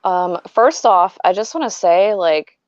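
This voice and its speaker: A woman's voice: medium pitch, a little slow, darkish tone, dry recording. A woman in her thirties, a fan putting questions to the guest, talking with enthusiasm and admiration and a casual "like" and "you know.". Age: 30s. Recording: dull, dry lo-fi sound.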